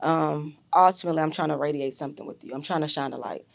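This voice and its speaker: Raspy, deep-voiced woman telling stories. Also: fast talker. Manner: animated storyteller, many fillers. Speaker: podcast guest, artist and educator. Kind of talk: casual storytelling interview.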